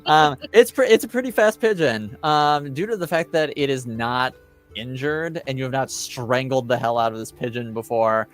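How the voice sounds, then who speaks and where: A man with a mid-pitched voice runs a tabletop roleplaying game over faint music. He narrates casually, improvising in a halting way as he thinks out loud. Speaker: game master.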